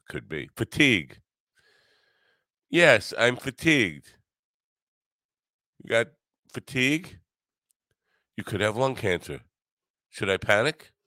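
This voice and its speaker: An older man hosting his own podcast in a rambling solo monologue, grumbly and low, wry and self-mocking. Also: sharp tone, dry recording, studio quality. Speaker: podcast host.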